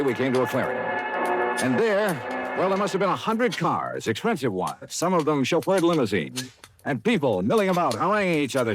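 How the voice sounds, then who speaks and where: An actor playing a brisk, wry, tough investigator who narrates a 1950s radio detective drama. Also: male voice, mid-range voice, lightly acted. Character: brisk hard-boiled male insurance investigator, wry and tough. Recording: old radio recording with music.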